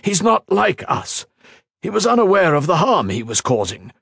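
A man's voice: medium pitch, lively intonation, takes it slowly, gravelly, a bit bright, slightly rough, no echo, clean studio sound, voice pushed up, full character voice. A man doing a desperate pleading scientist. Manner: pleading, strained, rising to outbursts. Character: anguished male scientist pleading for his creation.

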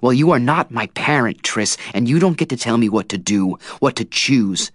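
A man's voice: lowish voice, normal speed, no room sound, clean studio sound, voice pushed up, close to an everyday voice. A man voicing a defensive young man arguing hotly with his girlfriend. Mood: heated. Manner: heated, rising to shouting.

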